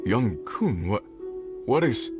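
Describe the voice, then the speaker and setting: A man's voice: fairly low pitch, big pitch swings, slow, over loud music. A man voicing an earnest, plain-spoken foreman of a rescue party in a video game, slightly hesitant in delivery. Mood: concerned. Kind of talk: English video game dub acting.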